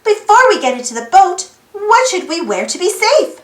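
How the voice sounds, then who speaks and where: A woman reading a children's picture book aloud in an animated way, putting questions to young listeners. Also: very high voice, moderate pace, echoey room. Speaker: storybook read-aloud host.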